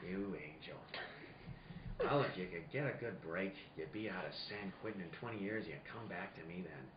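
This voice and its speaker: A man's voice: low-pitched, very animated, moderate pace. A man playing a tough, cynical private detective in a film-noir radio play, terse and sardonic. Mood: cold, accusing. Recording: roomy, distant, dull, faintly noisy recording.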